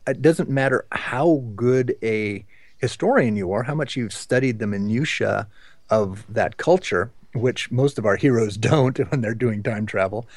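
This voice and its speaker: A man's voice: mid-range voice, unhurried, no room sound. A man co-hosts a casual discussion, his voice close and free of room sound. He speaks in a wry, informal way, chipping in with short comments of his own. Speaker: podcast co-host.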